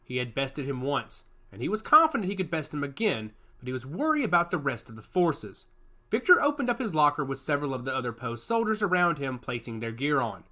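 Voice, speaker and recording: A man's voice: fairly high pitch, very animated, a little fast, bright, forceful tone. A man reading scripted fiction as a narrator in a dramatic storytelling style. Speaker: audiobook narrator.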